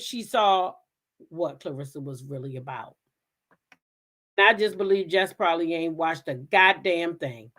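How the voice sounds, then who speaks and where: A woman's voice: mid-range voice, fairly bright tone. A woman hosting a casual live reaction stream, talking in a blunt, profane and emphatic way. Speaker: livestream host.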